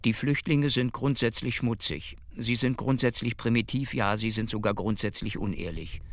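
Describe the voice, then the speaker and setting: An actor playing a self-righteous local man in a radio drama, declaiming formally in a measured, emphatic manner. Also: mid-range voice, steady tone, slight background noise, lightly acted. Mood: righteous scorn.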